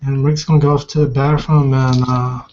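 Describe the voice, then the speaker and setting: A man's voice: medium pitch, flat delivery, moderate pace, a little mellow, slight background noise. A man in casual, rambling, informal chat as a player in a tabletop roleplaying game. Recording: dull lo-fi voice recording.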